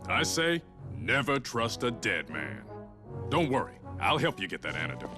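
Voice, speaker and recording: A man's voice: medium pitch, sing-song, moderate pace, close to an everyday voice. A man voicing a confident, loyal tough guy in a video game, with a cool, laid-back delivery. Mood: cool, reassuring. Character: confident, loyal, tough male veteran fighter, cool-headed. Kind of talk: video game cutscene acting. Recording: music under the voice. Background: music.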